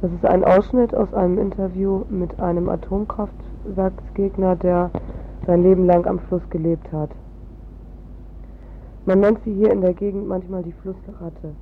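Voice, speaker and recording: Female reader for a book passage, very flat intonation, narrow-band audio with faint rumbling noise. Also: measured pace. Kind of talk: read-aloud book excerpt. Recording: tinny phone-like sound, faint rumble.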